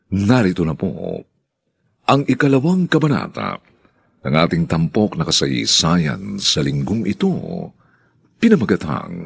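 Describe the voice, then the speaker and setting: A man hosting a radio drama, reading scripted narration in a formal, dramatic and deliberate way. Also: deep voice, vocal fry, slightly rough, no room sound.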